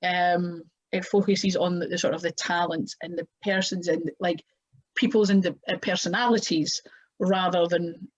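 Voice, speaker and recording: A woman's voice: lowish voice, steady tone, moderate pace, dull audio. A Scottish woman chatting casually as a podcast guest, rambling with fillers and restarts. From Scotland. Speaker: wrestler guest.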